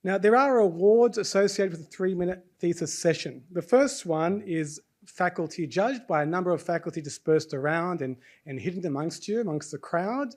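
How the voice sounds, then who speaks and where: A man's voice: sits high, lively intonation, moderate pace, few pauses, darkish tone. A man from Australia with an Australian accent, hosting a university presentation session in a chatty way, often checking in with the audience and quizzing them. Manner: chatty, keeps checking in with "right?", quizzes the crowd. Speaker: host of a student presentation event. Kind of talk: lively event hosting before an audience. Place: university lecture hall.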